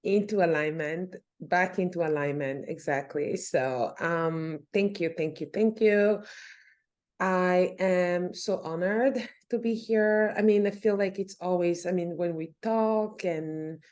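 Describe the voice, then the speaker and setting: A woman from Cameroon with a fairly low voice talks through her story on a podcast. She sounds relaxed and reflective, often starting a thought over and adding small fillers as she goes. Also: takes it slowly. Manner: warm, reflective, many fillers and restarts. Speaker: podcast guest, nonprofit founder. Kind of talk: casual interview conversation.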